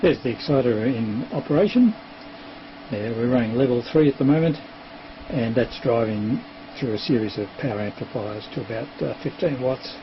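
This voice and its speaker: Noisy muffled recording, Australian man, mid-pitched voice, hesitant matter-of-fact technical presenter. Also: expressive, normal speed. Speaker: amateur television operator and presenter. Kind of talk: unscripted technical demonstration. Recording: dull lo-fi sound with clear hiss.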